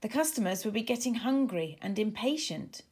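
A woman reading a children's storybook aloud in an expressive, deliberate way. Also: on the high side, breathy edge. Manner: animated, deliberate. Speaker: author reading own storybook.

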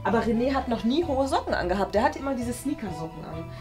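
High-voiced young woman, playfully thinking aloud. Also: big pitch swings, fast. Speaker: host and contestant guessing in a game. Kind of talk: casual chat, thinking aloud. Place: small indoor studio room. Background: background music.

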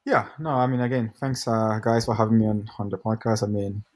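A young man from Ghana, a pro soccer player, telling his story in a relaxed, rambling way as a podcast guest over a video call. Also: lowish voice, fairly quick. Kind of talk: casual interview answers.

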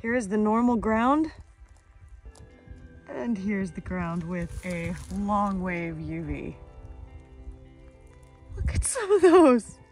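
A woman's voice: mid-range voice, very animated, takes it slowly, pauses often, darkish tone, soft background music. A woman in her thirties vlogging casually outdoors, enthusiastic and informal, full of exclamations, recorded on a handheld camera. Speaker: vlogger and rock-hunting host. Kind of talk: casual outdoor vlog chat. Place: outdoors on a rocky shore.

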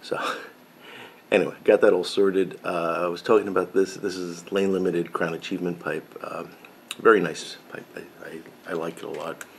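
A man's voice: low voice, little pitch movement, moderate pace, vocal fry, slight background noise. A middle-aged man chatting casually to camera from his home workshop, rambling and often correcting himself. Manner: rambling and self-correcting, with frequent fillers. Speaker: pipe-smoking woodworking channel host. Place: home workshop with pegboard of tools.

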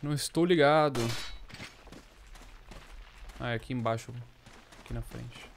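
A man's voice: mid-range voice, measured pace, vocal fry, a little hiss or hum. A Brazilian man in his thirties giving casual, slangy, exclamatory commentary as he plays a video game. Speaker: gaming streamer. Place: small indoor room. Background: video game soundtrack and effects.

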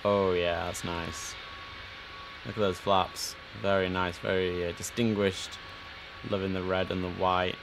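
Low-voiced man calling air traffic. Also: level tone, measured pace, over music. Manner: crisp controller calls mixed with chatty asides. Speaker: streamer playing air traffic controller. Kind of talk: gameplay commentary with radio phraseology. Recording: noisy game stream audio.

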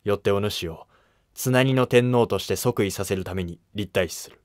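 A man's voice: lowish voice, normal speed, dry recording, studio quality, natural voice. A man voicing a stern, authoritative emperor who speaks in an archaic, commanding way in a historical drama animation. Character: stern, authoritative Japanese emperor deciding succession.

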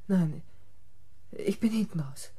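A woman's voice: fairly low pitch, animated, slow pace, dark tone, full character voice. An actress playing a fragile, frightened, troubled woman in a German radio thriller, speaking hesitantly and breathily. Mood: uneasy. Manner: hesitant, breathy, short answers.

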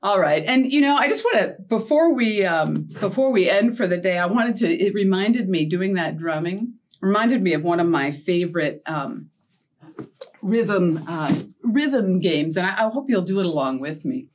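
A middle-aged woman hosting a storytime for young children, talking in an animated way with simple questions and playful surprise. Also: medium pitch, moderate pace. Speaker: children's librarian and storytime host. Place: small indoor set with felt board and book cart.

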